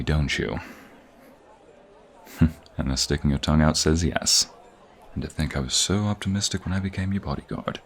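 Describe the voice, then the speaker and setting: Very deep-voiced, courtly knight bodyguard played by a man. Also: breathy edge, heavily acted. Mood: exasperated, wry. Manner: courtly, earnest, wryly exasperated, halting. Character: respectful armored knight bodyguard, teasing a bratty princess. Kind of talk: audio roleplay acting, one-sided dialogue.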